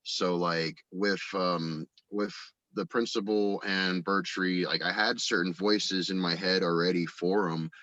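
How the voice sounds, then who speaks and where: A man's voice: sits low, steady tone, a little slow, hardly any pauses, a bit bright, dry recording, lo-fi sound. A man chatting casually as an interview guest, rambling enthusiastically about his creative work. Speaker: guest, audio drama writer and voice actor.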